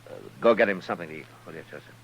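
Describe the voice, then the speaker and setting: Deep-voiced, steady marshal of the Old West. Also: male voice, racing, husky, dull audio. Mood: probing, measured. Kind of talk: 1950s radio western acting with first-person narration. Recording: old radio broadcast with music.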